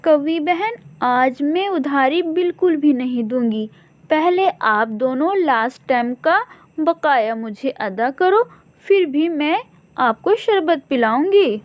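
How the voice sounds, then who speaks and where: महिला की आवाज़ में ऊँची, चुलबुली कार्टून चिड़िया. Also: female voice, high-pitched, animated, takes it slowly, dry recording, dull audio. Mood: firm. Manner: scolding, emphatic. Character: small female bird shopkeeper, firm, sharp-tongued, mocking.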